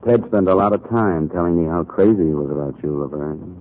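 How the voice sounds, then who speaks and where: An actor playing a hard-boiled, brooding newspaper columnist in 1940s radio noir, terse and sardonic, with an American accent. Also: male voice, deep voice, a little fast. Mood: probing. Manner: terse, world-weary, sardonic. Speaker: narrator and lead actor. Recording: muffled, noisy 1940s radio recording.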